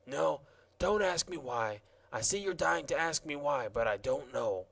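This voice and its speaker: A man's voice: fairly high pitch, lively intonation, measured pace, creaky, fairly bright tone, exaggerated voice. A man reading a storybook aloud, voicing a rambling, easygoing wandering man in a chatty, offhand way. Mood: rueful. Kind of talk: audiobook character voice.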